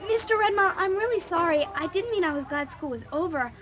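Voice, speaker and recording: A woman's voice: very high-pitched, fairly quick, crowd noise. A woman with an American accent playing an outspoken, eager seventh-grade girl narrating and acting in a children's audio drama. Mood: flustered apology. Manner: animated, blunt.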